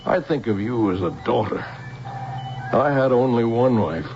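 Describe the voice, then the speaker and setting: An American actor playing a protective, fatherly older scientist in a radio drama, speaking gently and earnestly with hesitant pauses. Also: mid-range voice, lively intonation, lightly acted. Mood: tender. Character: protective older scientist, tender fatherly guardian to a young woman. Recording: dull old radio recording with music.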